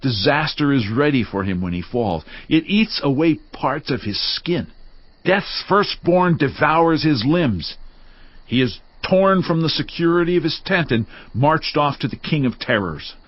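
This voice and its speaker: An actor playing a stern accuser who gravely declaims doom on the wicked in a scripture drama. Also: male voice, fairly high pitch, lively intonation, unhurried, dry recording. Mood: ominous. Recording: slightly dull, faint music underneath.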